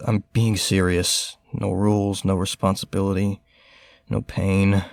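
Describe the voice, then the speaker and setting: A man's voice: low-pitched, little pitch movement, a little slow, creaky, darkish tone, no room sound. A young man playing a serious, reserved rich teenage boy in an audio drama, speaking in an earnest, understated and slightly hesitant way. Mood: bitter, weary.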